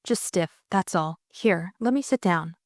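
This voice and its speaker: A woman voicing a brisk, unflappable young aristocratic sleuth, breezy and bossy, in an audiobook mystery. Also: fairly low pitch, expressive, no echo, studio quality. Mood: brisk, dismissive.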